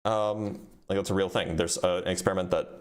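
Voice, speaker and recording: A man's voice: low voice, steady tone, brisk. A man physicist reading viewer questions aloud on a casual livestream and answering them plainly, with frequent ums, as he starts to lose his voice.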